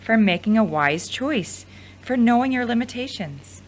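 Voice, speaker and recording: A woman's voice: fairly high pitch, animated, measured pace. A woman playing a frank, supportive ex-girlfriend in a conversational audio drama. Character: frank, teasing, supportive ex-girlfriend and studio manager.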